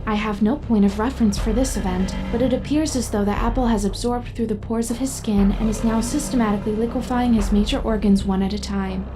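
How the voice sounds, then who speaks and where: A woman voicing a calm, precise computer companion in an audio drama, with formal, measured delivery. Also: a little high, over music, lightly acted. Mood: clinical.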